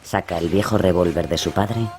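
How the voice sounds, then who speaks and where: Deep-voiced male horror narrator. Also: normal speed, darkish tone. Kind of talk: dramatic scripted horror narration.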